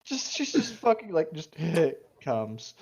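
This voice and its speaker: A man's voice: fairly high pitch, wildly swooping pitch, slow pace, lo-fi sound. A man bantering casually and reading out a story as co-host, joking and playing along.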